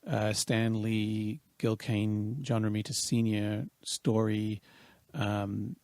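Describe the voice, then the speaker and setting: A man in his fifties from Wales, a guest talking through his thoughts with many pauses and restarts. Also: fairly low pitch, little pitch movement, a little mellow, no room sound, studio quality. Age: 50s. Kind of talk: casual interview.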